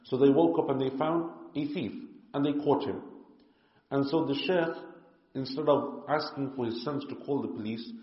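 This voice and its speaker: A man's voice: medium pitch, normal speed. A man teaching a religious lecture who tells a moral story, using Arabic honorifics and sometimes restarting his phrases. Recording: echoey, dull room with reverb.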